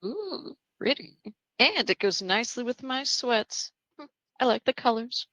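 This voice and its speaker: A woman's voice: on the high side, sing-song, a little slow, a bit bright, dry recording. A woman playing a chatty, teasing young female patient in a screenplay table read, with a sing-song, mock-sincere manner. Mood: playful teasing.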